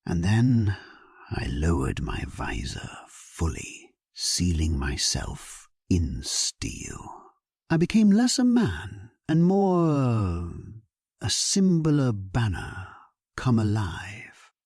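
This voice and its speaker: A man narrates a dramatic story in the first person with solemn gravity. His voice is on the low side and very slow, with a theatrical rise and fall. The sound is close and dry. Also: very animated, darkish tone, slightly rough, dry recording.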